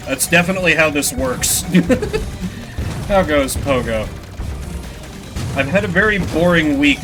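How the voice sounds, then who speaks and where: A man doing casual live gameplay commentary, rambling in a self-deprecating way with casual swearing. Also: on the high side. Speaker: video game streamer.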